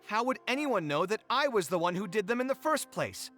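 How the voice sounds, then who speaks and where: Show-off young architect dude in a game, pitch swinging all over, soft music under him. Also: male voice, sing-song, brisk. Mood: boastful. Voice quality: theatrical, showy. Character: proud, boastful young male architect. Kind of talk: game voice acting.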